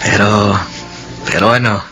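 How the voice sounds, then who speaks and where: A man's voice: lowish voice, level tone, measured pace, over music, natural voice, poor audio. An actor playing a devoted, reassuring young man in love, earnest and emphatic in a sentimental radio drama. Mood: questioning, coaxing.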